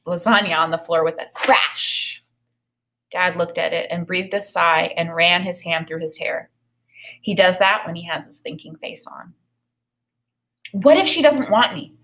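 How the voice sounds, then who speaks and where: Female teacher, American, thirties, mid-pitched voice, expressive story reading mixed with think-aloud explanation. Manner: expressive reading with acted dialogue. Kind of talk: read-aloud lesson with think-aloud commentary.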